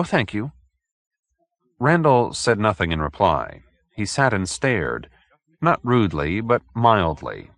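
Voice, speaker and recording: A man's voice: on the low side, fairly bright tone, no room sound. A man narrating fiction from a script as an audiobook reader.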